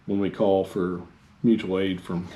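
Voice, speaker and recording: Low-voiced man giving informal training. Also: little pitch movement, dark tone, rough recording. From Texas, United States. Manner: halting, repetitive phrasing. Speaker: fire department instructor.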